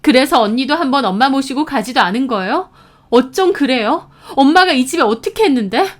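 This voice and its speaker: A woman voicing an outraged, distraught younger sister shouting reproaches in a dramatized story. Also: sits high, animated, normal speed, voice pushed up. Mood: furious, accusing.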